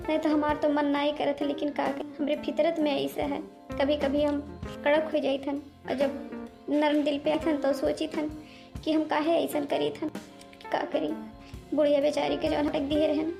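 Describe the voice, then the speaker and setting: A woman's voice: sits high, creaky. A woman voicing a moody, sorrowful ghost witch in a young bride's body for a cartoon comedy, with an Awadhi accent and rustic, plaintive talk. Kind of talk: amateur cartoon comedy voice acting. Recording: music behind the voice.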